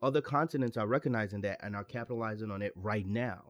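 A man's voice: on the low side, fast, no room sound. A young man giving his opinions in casual chat, earnest and stumbling over restarts as he gets worked up.

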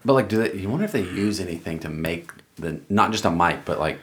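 A man's voice: sits low, expressive, fast talker. A man co-hosting a podcast in casual chat, blunt and joking, often cutting in on his co-host. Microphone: studio mic close up.

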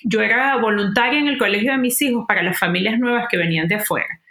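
A woman from Venezuela, a guest entrepreneur talking in a warm, upbeat, conversational way. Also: a little high, quick pace, roomy sound. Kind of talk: interview conversation.

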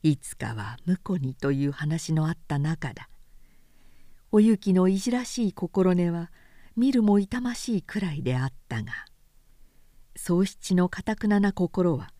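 Soft-spoken woman reading a novel aloud. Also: fairly low pitch, no echo, studio quality. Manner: calm, measured, soft-spoken. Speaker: narrator. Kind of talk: literary reading.

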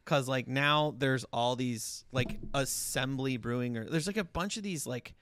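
A young man co-hosting a podcast in a small studio, chatting in a relaxed, rambling way with many fillers. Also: medium pitch, measured pace, a little hiss or hum. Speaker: podcast co-host, comedian. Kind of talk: casual podcast chat. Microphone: studio microphone.

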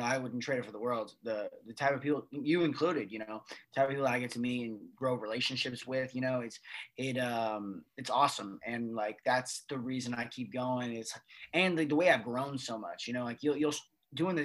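A man's voice: medium pitch, a bit bright, poor audio. A young man, a guest on a casual podcast, rambling with many fillers as he speaks. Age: young adult.

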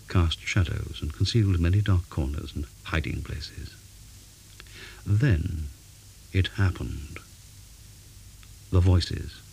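A man reading a scripted ghost story in a measured, dramatic way, pausing for effect. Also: sits low, creaky, mellow, breathy edge, low-quality recording. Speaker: story reader. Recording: faint background hiss.

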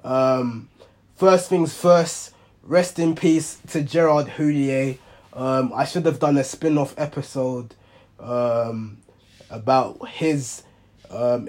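A young man with a hoarse voice, hosting a football reaction show in a rambling, casual monologue full of ums and self-corrections. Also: mid-range voice, darkish tone. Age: young adult. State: hoarse, under the weather. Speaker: football reaction channel host.

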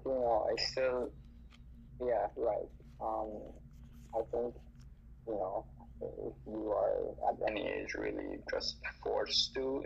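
A man's voice: fairly low pitch, creaky, sharp tone. A man in casual chat, hesitant and full of fillers and restarts, who grew up bilingual and learned English after another language. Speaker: listener joining a live audio discussion. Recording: dull lo-fi online audio with faint noise.

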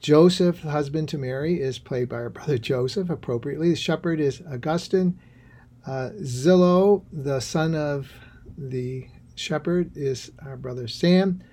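Mellow man introducing a play, hesitant. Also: a little high, unhurried. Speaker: host introducing a radio play. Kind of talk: informal spoken introduction.

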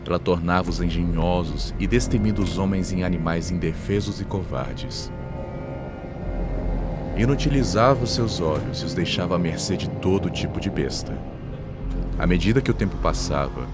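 A man reading a scripted dark horror prologue in a solemn, dramatic way. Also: low voice. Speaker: narrator. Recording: loud music under the voice. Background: loud music.

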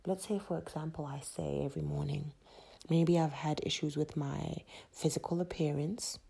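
A low-voiced woman from Zimbabwe hosts a solo motivational podcast. Her delivery is emphatic and repetitive, like a preacher driving a point home. Her tone is dark and gravelly, with a husky, breathy edge. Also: fairly even intonation, normal speed. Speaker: podcast host.

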